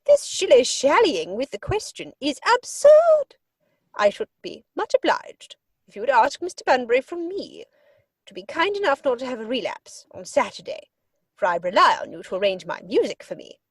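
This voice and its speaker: A woman's voice: high-pitched, wildly swooping pitch, moderate pace, husky, dry recording, full character voice. A woman playing an imperious older aristocratic English lady in a theatrical comedy reading, pompous and emphatic. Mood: indignant.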